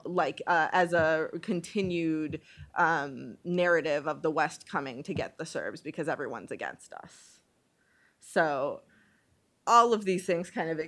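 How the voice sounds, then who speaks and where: The low voice of a hesitant, wryly self-deprecating woman from Bosnia and Herzegovina, heard among panelists at a public discussion. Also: a bit bright. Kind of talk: informal spoken panel commentary.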